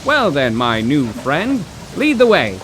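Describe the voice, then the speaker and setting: An actor playing an excitable, bookish professor in a 1930s radio drama, theatrical and wordy with old-fashioned exclamations. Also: male voice, fairly high pitch, takes it slowly, heavily acted. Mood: curious, friendly. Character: excitable, bookish 1930s male poetry professor, stranded motorist. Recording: rain and road noise.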